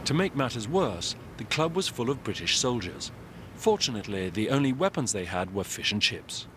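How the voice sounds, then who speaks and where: A man's voice: mid-range voice, very animated, normal speed, noisy background. A man reading scripted narration as the narrator of a documentary.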